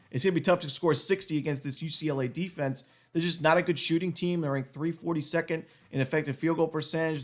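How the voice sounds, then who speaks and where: Man with a cold, betting picks rundown, rapid delivery, slightly raised pitch. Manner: quick, steady rundown of stats and picks with small restarts. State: has a cold, voice going out. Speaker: sports betting analyst. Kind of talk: solo sports picks breakdown.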